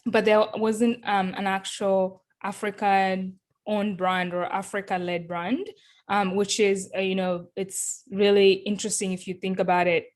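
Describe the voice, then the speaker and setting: Woman, from Kenya, interview guest, measured delivery, frequent ums, mid-pitched voice. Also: little pitch movement. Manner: thoughtful, many ums and uhs. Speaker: guest, company founder. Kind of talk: interview answers.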